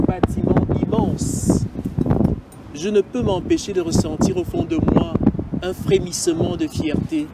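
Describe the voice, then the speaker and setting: A priest, a middle-aged man, speaks slowly and earnestly in a fairly high voice. He sits back from the mic outdoors, and loud traffic noise runs under his reflective words. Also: gravelly, recorded from a distance. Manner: slow, earnest and meditative, building in intensity. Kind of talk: reflective personal testimony. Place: outdoors by a cathedral forecourt.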